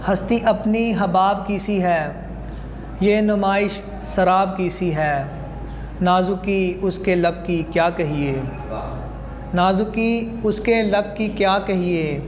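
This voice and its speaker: High-voiced man reciting poetry in heavy echo. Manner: measured, repeating lines declamatorily. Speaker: student. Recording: very echoey hall, clear background noise.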